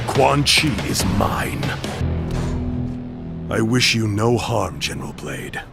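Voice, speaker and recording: An actor playing a vengeful ninja clan master in a video game, speaking in a formal, solemn, menacing way. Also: male voice, on the low side, big pitch swings, takes it slowly, gravelly, full character voice. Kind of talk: cinematic video game acting. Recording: loud music behind.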